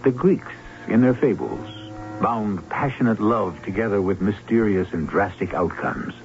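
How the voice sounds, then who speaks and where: A man hosting a radio drama with scripted, deliberate, theatrical and literary narration. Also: on the low side, slight background noise. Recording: muffled old radio with prominent music.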